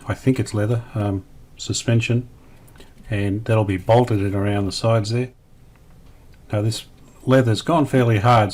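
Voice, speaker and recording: A man giving casual hands-on restoration commentary, thinking aloud in a tentative, unhurried way. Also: lowish voice, a little mellow. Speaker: radio restorer presenting a repair series.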